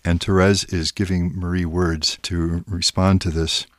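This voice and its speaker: A man's voice: deep voice, flat delivery, no echo, studio quality. A man, a priest, giving a reflective spiritual talk and carefully reading poetry aloud. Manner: careful, reflective, reads verse aloud slowly.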